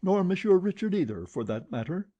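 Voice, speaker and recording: A man voicing an indignant old woman who bursts out in shrill protest, in a storybook reading. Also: very animated, fairly quick, dark tone, slightly rough, muffled recording, full character voice. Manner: shrill, explosive outbursts.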